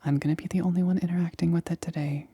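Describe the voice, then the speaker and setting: A woman whispering breathily in an ASMR roleplay as a careful scientist, speaking in a deliberate, clinical, instructing manner. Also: on the low side, steady tone, dark tone, husky, no echo, clean studio sound. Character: careful, excited female scientist examining an alien specimen.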